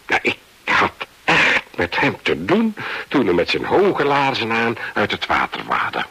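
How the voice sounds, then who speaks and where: A folksy local man in a radio play. His voice is deep and husky, with a sharp, forceful edge. He speaks emphatically, like a country storyteller. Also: wildly swooping pitch, measured pace, no room sound, exaggerated voice. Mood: storytelling. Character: Scottish man called Angus, folksy river local recounting events. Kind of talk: radio play narration and dialogue.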